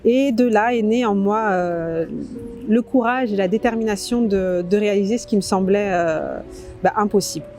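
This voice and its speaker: A woman's voice: mid-range voice, normal speed, warm tone, faint music behind. A middle-aged woman, a general practitioner, speaking earnestly with some hesitation in an interview.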